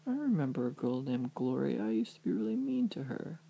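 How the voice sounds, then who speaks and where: A cursed mouse prince from a storybook, as a man acts him out, friendly and contrite. The earnest voice sits higher, with a gravelly rasp and lively swings. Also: a little fast, lo-fi sound, exaggerated voice. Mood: apologetic. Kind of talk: improvised bedtime story dialogue.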